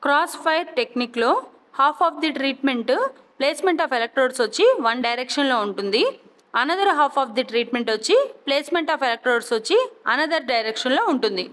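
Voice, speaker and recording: A woman with a Telugu accent giving a scripted educational narration as a lecturer, deliberately repeating key terms and carefully enunciating English phrases. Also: sits high, a bit bright. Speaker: physiotherapy lecturer. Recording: long reverberant echo.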